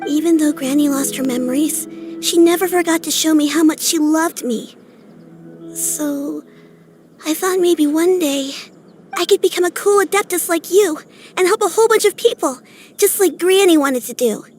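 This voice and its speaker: An earnest young girl talks in a very high, soft voice, sincere and a little hesitant, tripping over her own words. Game music plays clearly behind her. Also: normal speed. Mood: heartfelt. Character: earnest shy young girl hoping to become a disciple. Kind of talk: video game cutscene dialogue.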